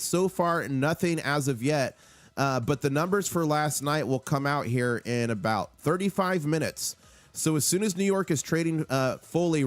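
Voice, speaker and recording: A man with a hoarse voice hosting a live market stream, urgent and hyped, repeating key figures for emphasis. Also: on the high side, dry recording. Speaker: livestream host on silver markets. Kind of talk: excited live market commentary.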